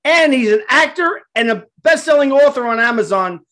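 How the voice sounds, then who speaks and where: A man's voice: extremely high pitch, expressive, moderate pace, pushed and bright. Joking fifties man with a New York accent. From Queens, New York. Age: 50s. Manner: loose, joking, streetwise banter. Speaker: host. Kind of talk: casual interview chat. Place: home studio full of rock memorabilia. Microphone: headset on a video call.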